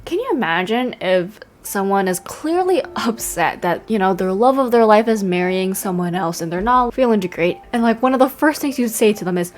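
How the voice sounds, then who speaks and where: A woman's voice: mid-range voice, lively intonation, normal speed, few pauses. A young woman giving casual, sarcastic and playful commentary as she plays a game. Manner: playful, sarcastic, mimics characters in mocking quotes. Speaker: streamer.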